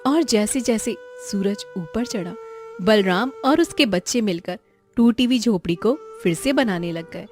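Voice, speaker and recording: A woman's voice: mid-range voice, expressive, measured pace, faint music behind. A woman giving scripted, dramatic narration of a moral story.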